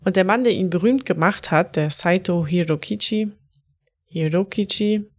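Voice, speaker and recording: A woman's voice: lowish voice, moderate pace, darkish tone. A woman co-hosting a casual podcast, telling stories in a chatty way and laughing often. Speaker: podcast co-host.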